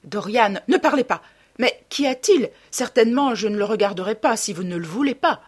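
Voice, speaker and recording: A woman's voice: fairly high pitch, animated, a little fast, husky, voice pushed up. A woman voicing an affable, devoted male painter in a novel, courteous, earnest and questioning. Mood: taken aback.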